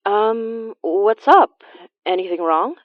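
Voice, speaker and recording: A woman's voice: medium pitch, animated, slow, no room sound, phone-quality sound. An actress playing an awkward, guarded woman investigator with dry wit and a hesitant way of talking in an audio drama. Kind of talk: naturalistic audio drama acting.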